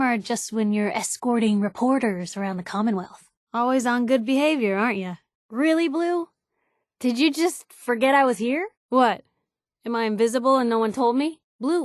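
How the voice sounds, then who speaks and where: An actress playing a feisty, wry young woman reporter in video game companion dialogue, conversational, slangy and expressive. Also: on the high side, no echo, studio quality. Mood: playful, earnest, then exasperated. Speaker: voice actor for a game companion character.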